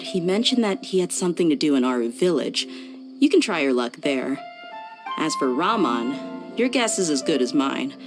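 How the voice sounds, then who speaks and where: Low-voiced, easygoing female mercenary. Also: poor audio. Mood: matter-of-fact. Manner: casual, laid-back, matter-of-fact. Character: easygoing, confident adult female mercenary, loyal bodyguard. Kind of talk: video game dialogue acting.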